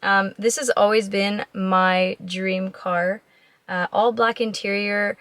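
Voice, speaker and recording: A woman's voice: mid-range voice, fairly even intonation, takes it slowly, fairly bright tone, no echo. A young woman in her twenties gives a casual vlog tour of her SUV, chatty and full of ums and likes, her voice scratchy from a cold. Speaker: vlogger. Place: inside a parked SUV and outdoors on a driveway. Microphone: handheld camera at arm's length.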